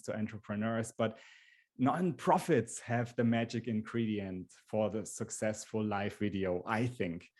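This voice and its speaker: A man's voice: medium pitch, darkish tone. A German-accented man in his forties presents a live webinar through a computer microphone. His voice sits in an ordinary middle register, and he talks earnestly, often stopping to restart a phrase. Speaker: webinar host.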